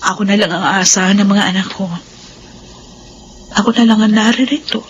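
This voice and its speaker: An actress in a radio drama playing a young widow who stays composed and firmly deflects accusations. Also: female voice, medium pitch, steady tone, brisk, lightly acted. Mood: calm. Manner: firm, composed, brushing off accusations. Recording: radio drama with faint background noise.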